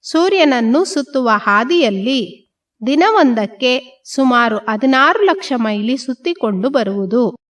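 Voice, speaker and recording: Slow, calm woman guiding meditation. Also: sits high. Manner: slow, calm, repetitive phrasing with pauses. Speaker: meditation guide. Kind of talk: guided meditation.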